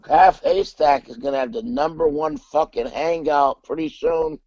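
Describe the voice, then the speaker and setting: A man chatting loudly and teasingly on a group video call while drinking. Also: a little high, wildly swooping pitch, normal speed, gravelly. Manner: loud, drunken, teasing. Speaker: hangout regular. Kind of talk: rowdy group video chat. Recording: dull lo-fi call audio.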